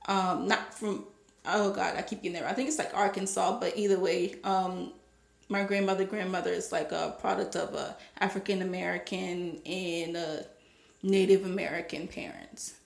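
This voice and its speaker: A woman from Liberia giving her opinion in a casual monologue to camera, becoming more animated as she stresses her points. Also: on the low side, normal speed, vocal fry, echoey room. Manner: opinionated, rising to animated emphasis. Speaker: vlogger.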